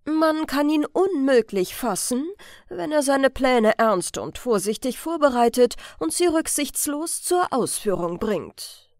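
A woman reading the words of a vain, self-assured gentleman criminal in an emphatic, lecturing way for an audiobook. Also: high voice, animated, a little slow, dry recording, clean studio sound, pitched above the performer's own voice.

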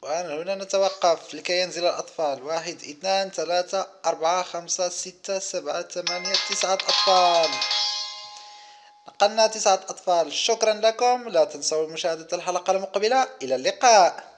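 Higher-pitched man narrating for kids, music behind. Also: fast. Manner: counts aloud, speaks in short simple phrases. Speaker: host of children's gaming videos. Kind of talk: simple gameplay commentary for young children.

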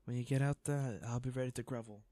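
A man's voice: medium pitch, steady tone, fast, dark tone, dry recording, natural voice. A man playing a devoted, guilt-ridden boyfriend in an intimate audio roleplay, speaking in a pleading, tender, apologetic way. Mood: gentle, tender.

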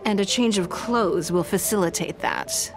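A woman voicing a poised, elegant noblewoman leader in a video game, composed, gracious and measured. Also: mid-range voice, over music, lightly acted. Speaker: game character.

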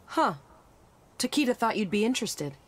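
A woman's voice: a little high, big pitch swings, moderate pace, a little hiss or hum. An actress playing a confident young female soldier in a video game, casual, blunt and sassy. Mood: cocky. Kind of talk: video game character dialogue.

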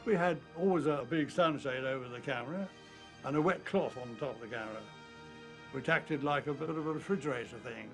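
A man's voice: medium pitch, fairly quick, soft background music. A man describing practical arrangements on a desert film shoot in a plain, practical way.

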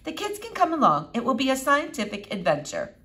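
A woman voicing a brisk, practical and rather bossy great-aunt in a children's audiobook. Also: sits high, wildly swooping pitch, bright, forceful tone, pitched above the performer's own voice, heavily acted. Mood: helpful, soothing.

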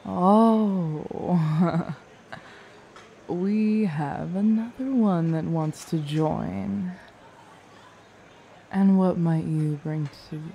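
A woman playing a haughty, predatory young vampire on a drugged high in an audio roleplay, languid and taunting. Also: mellow. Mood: languid, dismissive. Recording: clear background noise and ambience.

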